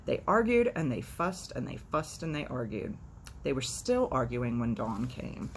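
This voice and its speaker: A woman's voice: very deep voice, sing-song, unhurried. A woman hosting storytime, reading expressively and dropping in playful, sardonic, chatty asides. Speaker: storytime host. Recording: faint background noise.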